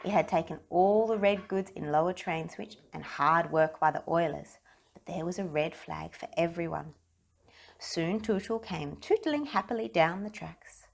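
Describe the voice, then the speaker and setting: A woman reading a children's story aloud in an expressive narrating style. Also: lowish voice, moderate pace, gravelly. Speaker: storybook reader.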